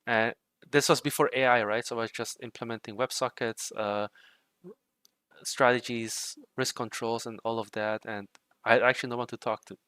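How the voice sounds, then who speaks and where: A young man with a bright, crisp voice talks loosely to his livestream viewers, filling his sentences with "like" and "right?". He sounds dry and close, with no room around him. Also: medium pitch, moderate pace, dry recording. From Czech Republic. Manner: relaxed, rambling, frequent fillers like "like" and "right". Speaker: livestream host and trading bot developer. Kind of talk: casual livestream chat while coding.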